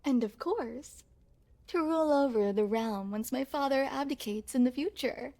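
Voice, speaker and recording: A woman's voice: sits high, normal speed, darkish tone. A woman playing a refined young crown princess in an ASMR roleplay, speaking formally and with coy poise straight to the listener. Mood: proud, poised. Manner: formal, poised, genteel storytelling with coy amusement.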